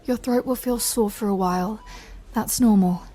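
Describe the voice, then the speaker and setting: A woman playing a gentle, patient recovery-room clinician, speaking softly in an ASMR roleplay. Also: mid-range voice, normal speed, lightly acted. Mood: soothing. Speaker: voice actor in an audio roleplay. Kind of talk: soft ASMR comfort roleplay.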